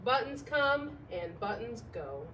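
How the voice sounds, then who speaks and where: A woman reads a picture book aloud to young children in an expressive, emphatic, sing-song way, putting questions to her listeners. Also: on the high side, slow. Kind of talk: storybook read-aloud with sung refrains. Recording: distant microphone with faint background noise.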